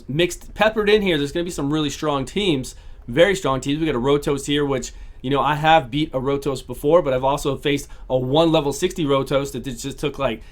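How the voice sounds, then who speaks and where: A man casually commentating his own gameplay, talking through each battle and repeating his phrases, his voice starting to go hoarse. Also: a little high. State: voice going hoarse. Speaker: gaming channel host.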